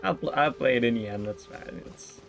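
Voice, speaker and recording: A man giving casual livestream commentary on a game. His voice is mid-pitched, and he rambles in a joking, giggly way. Game music plays faintly behind him. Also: fairly quick, creaky. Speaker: game streamer.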